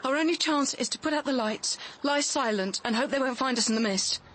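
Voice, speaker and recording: Callous woman plotter, high voice, practical, dismissive, radio play, clear boat noise. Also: a little fast, fairly bright tone. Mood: urgent. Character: cool, callous female conspirator, dismissive and practical. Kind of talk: radio drama acting. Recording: noisy boat engine and water.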